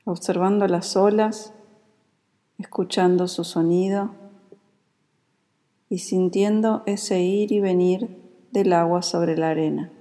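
A woman with a Rioplatense Spanish accent guides a meditation in a calm, soothing, unhurried manner. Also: fairly low pitch. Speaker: yoga nidra meditation guide. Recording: long reverberant hall-like echo.

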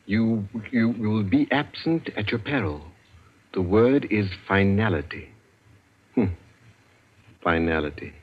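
An actor playing a secretive middle-aged ex-manservant who mutters dryly to himself, in 1940s radio acting. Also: male voice, lowish voice, animated, a little slow, long pauses, slightly rough. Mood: musing. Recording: dull lo-fi old radio recording.

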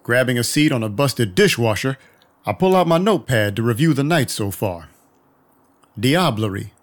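An actor playing a wry vampire detective who narrates in a dry, measured, noir manner. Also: male voice, mid-range voice, animated, close to an everyday voice. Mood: wry, matter-of-fact. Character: weary, wry male vampire detective narrating his night. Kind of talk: first-person noir scripted narration.

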